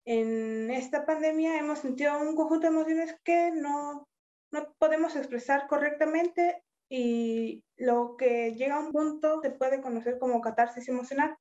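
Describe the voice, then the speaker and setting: A woman's voice: sits high, normal speed, a little mellow. A young woman giving earnest, reflective interview answers. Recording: dull lo-fi sound.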